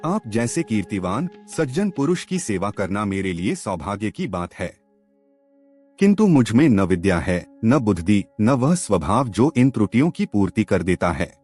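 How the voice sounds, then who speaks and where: A man's voice: lowish voice, very animated, normal speed, a little mellow. A man voicing a principled young police inspector, modest, deferential and grave, in a narrated story. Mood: humble, grave. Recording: music under the voice.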